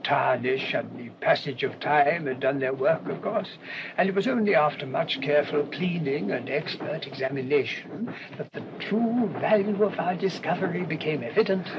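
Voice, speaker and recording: An actor playing a humble, earnest English parish priest in a radio play, mild, hesitant and formally wordy. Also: male voice, a little high, expressive, moderate pace. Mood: earnest, storytelling. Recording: dull, noisy old radio recording.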